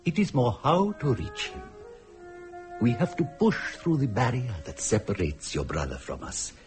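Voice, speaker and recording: An actor playing an earnest man who solemnly and deliberately tells a family ghost tale in a radio play. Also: mid-range voice, wildly swooping pitch, over music. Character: earnest man telling his great-grandfather's ghostly tale. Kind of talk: dramatic radio play storytelling.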